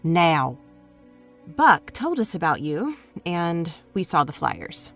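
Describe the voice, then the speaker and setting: A worried Southern mom. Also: female voice, on the low side, sing-song, slow pace, fairly bright tone, faint music behind, exaggerated voice. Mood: worried, pleading. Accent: American Southern. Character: caring Southern mother, middle-aged, worried and pleading.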